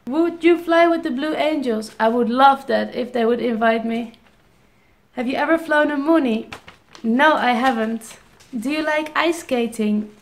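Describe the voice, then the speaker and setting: A woman's voice: sits high, a little slow, echoey room. A Dutch-accented woman in her twenties, reading out viewer questions and answering them casually with ums and restarts. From Netherlands. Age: 20s. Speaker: airline pilot vlogger. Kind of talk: casual Q&A vlog.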